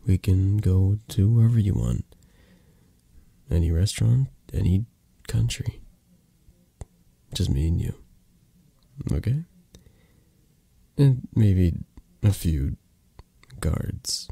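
Man playing a slow, husky, possessive mafia boss. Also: sits low, expressive, dry recording, full character voice. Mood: tender, flirty. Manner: hushed, halting, breathy, drifting into whispers. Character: possessive, flirty, tender male mafia boss in love. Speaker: ASMR roleplay performer. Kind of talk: intimate romantic ASMR roleplay. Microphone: close microphone, dry sound.

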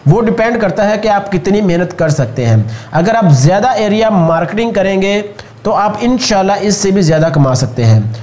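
A man with a Pakistani accent narrates an explainer as its host. His voice is high for a man. He speaks straight to the viewer in an instructive, scripted voice-over style. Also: lively intonation, normal speed, darkish tone. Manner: addresses viewers directly, instructive. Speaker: video host.

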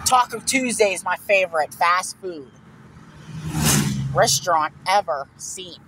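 A young man chats as a passenger in a moving car. He talks slowly and repeats himself, often coughing or clearing his throat. Faint road noise hums behind him. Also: high voice, lively intonation. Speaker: passenger filming a drive. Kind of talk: casual chat. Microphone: handheld phone camera.

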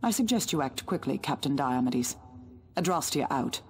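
A woman playing an imperious, threatening Inquisitor in a video game, speaking in a measured, formal way. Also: a bass voice, expressive. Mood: stern warning. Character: stern, cold female Inquisitor, imperious and threatening. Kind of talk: dramatic video game cutscene acting.

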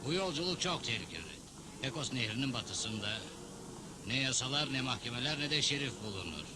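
A man's voice: mid-range voice, animated, fairly quick, over music, natural voice. Man playing an earnest, mid-voiced western cattle owner. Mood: grave warning. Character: earnest, worried cattle owner in the Old West. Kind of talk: dubbed cartoon acting.

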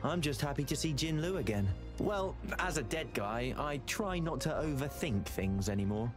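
A man's voice: fairly high pitch, animated, moderate pace, music in the background, close to an everyday voice. An actor voicing an easygoing dead man brought back as a puppet in a game. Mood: laid-back.

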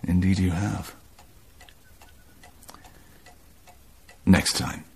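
An actor playing a restless adventurer and pilot in a radio drama, understated, laconic and gently evasive. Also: male voice, low-pitched, level tone, unhurried, creaky, breathy edge, full character voice.

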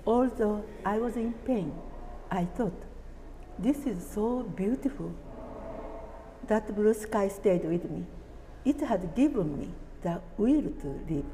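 Elderly woman whose first language is Japanese, slow careful delivery, mellow tone, reverberant room. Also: medium pitch, expressive, a little hiss or hum. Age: 80s. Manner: slow, careful, deliberate reading from notes. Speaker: atomic bombing survivor. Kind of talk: reading a prepared testimony. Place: indoor room, seated at a table.

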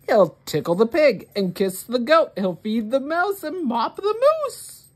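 A woman hosting story time, reading a children's picture book aloud in an expressive, deliberate way for young children. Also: a little high.

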